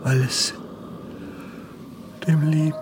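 An actor playing an earnest, troubled believer, reciting verse in a solemn, declamatory way in a German radio play. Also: male voice, on the high side, level tone, slow pace, background noise. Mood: devout, grave. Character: earnest, troubled male believer pondering the Passion.

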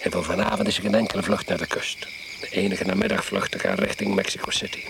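An actor in a radio play playing an overbearing, eccentric older husband who is pushily hospitable and faintly menacing. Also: male voice, deep voice, flat delivery, fast, vocal fry. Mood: pushy, unyielding. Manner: domineering, capricious, interrupting. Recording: noisy radio play recording.

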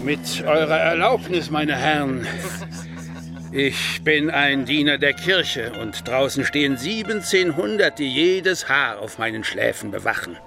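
An actor playing a pompous, scolding priest in a radio play, haughty and declamatory. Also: male voice, a little high, animated, unhurried, creaky, music in the background. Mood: haughty denunciation.

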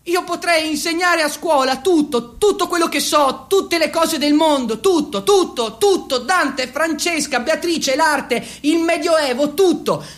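A man playing a timid but excitable young dreamer, repeating himself and declaiming earnestly in a theatrical monologue. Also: extremely high pitch, voice pushed up, heavily acted. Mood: eager, excited. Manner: halting, repetitive, breaking into declamation.

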